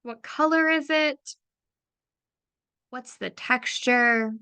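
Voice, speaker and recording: A woman with a slightly high, bright voice leads a meditation at a very slow, deliberate pace. Her tone is soft and affirming, with a gravelly edge of vocal fry, and the recording is dry and close. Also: no room sound. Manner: soft, unhurried, affirming, repeating phrases. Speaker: meditation guide for creative business owners. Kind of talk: slow guided visualization.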